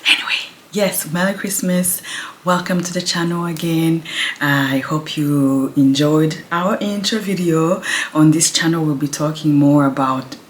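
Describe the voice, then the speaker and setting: A woman, her Kinyarwanda, chatting casually to camera at home, speaking softly and laughing now and then. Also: low voice, fairly even intonation, measured pace, a little hiss or hum. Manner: speaks softly, laughs often, restarts words. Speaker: vlogger. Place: home, indoors.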